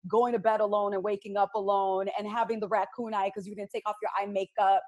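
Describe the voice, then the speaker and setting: A woman from the south side of Chicago, a radio personality speaking as a panel guest in an outspoken, blunt, animated storytelling manner. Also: mid-range voice, quick pace. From United States, south side of Chicago. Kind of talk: candid panel discussion.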